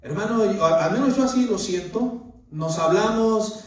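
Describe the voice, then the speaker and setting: A man's voice: sits high, normal speed. Man, pastor mid-sermon; lists and repeats for emphasis; very reverberant space. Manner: earnest, keeps calling listeners "brother". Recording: very echoey room, mic far off.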